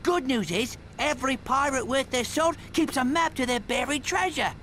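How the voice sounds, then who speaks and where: An actor voicing a boastful, double-crossing in an animated game, with a theatrical, wheedling manner. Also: male voice, very high-pitched, normal speed, slight background noise. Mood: chummy, sly. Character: roguish, boastful, double-crossing male pirate robot sidekick. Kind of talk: animated video game cutscene acting.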